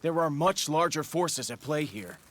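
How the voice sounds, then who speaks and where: A man's voice: on the high side, animated, moderate pace, slight background noise. An actor playing a resolute young male superhero team leader in a video game, speaking firmly and earnestly. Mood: earnest, urging. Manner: firm, earnest. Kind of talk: dramatic video game acting. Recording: loud music over the voice.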